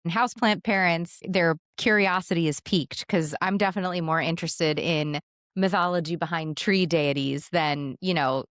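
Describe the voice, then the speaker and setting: A podcast host with a lowish voice leads the conversation. She asks eager, curious questions, and the recording is dry and close. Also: moderate pace, a bit bright, no room sound. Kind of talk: casual interview.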